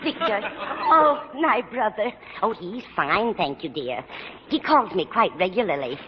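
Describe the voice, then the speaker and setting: A woman's voice: medium pitch, very animated, a little slow, lo-fi sound, a faint crowd. A woman playing a kindly, absent-minded older landlady who rambles vaguely in a vintage radio sitcom. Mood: vague, confused. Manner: vague, scatterbrained, earnest. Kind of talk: 1940s-50s American radio sitcom acting. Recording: noisy old radio recording, loud studio audience.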